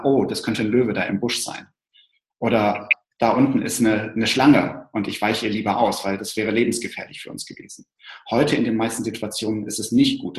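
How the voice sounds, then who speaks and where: A man explaining ideas thoughtfully, with some restarts. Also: medium pitch. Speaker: co-presenter. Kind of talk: explanatory talk to an online audience. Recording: roomy echo.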